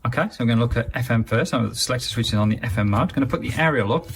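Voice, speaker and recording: Fast-talking man with gravelly creak. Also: medium pitch, slight background noise. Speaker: product reviewer. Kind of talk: casual hands-on gadget review.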